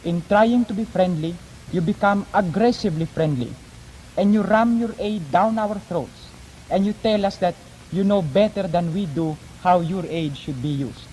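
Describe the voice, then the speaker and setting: A man with a Filipino accent and a high voice debates in an articulate, oratorical manner. He phrases things formally, like a speech. The old 1950s recording carries faint noise. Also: normal speed, warm tone. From Philippines. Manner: declamatory, articulate. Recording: noisy 1950s archive broadcast recording.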